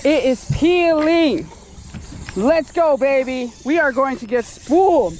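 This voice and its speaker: A man's voice: very high-pitched, animated, takes it slowly, darkish tone. Wind and water noise surround a young American man on an open boat. He talks in a casual, buddy-like way as a fishing vlogger, recorded outdoors on a body-worn action camera. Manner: informal, addresses viewers as buddies. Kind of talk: casual vlog chat while fishing.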